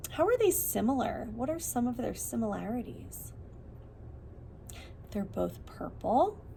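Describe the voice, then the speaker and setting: A woman reading a nature book aloud as a preschool teacher, expressively, pausing to put questions to small children. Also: on the high side, creaky, a little hiss or hum.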